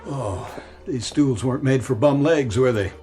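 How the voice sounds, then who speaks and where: A man's voice: mid-range voice, a little slow, dark tone, lightly acted. A man in a radio drama playing a weary, wistful executive in his thirties with a bad leg. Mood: resigned, wistful. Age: 30s.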